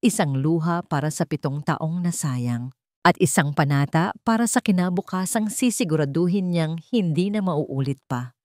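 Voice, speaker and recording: Woman, Filipino accent, low pitch, dry close sound, measured dramatic story narration. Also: a little mellow, dry recording.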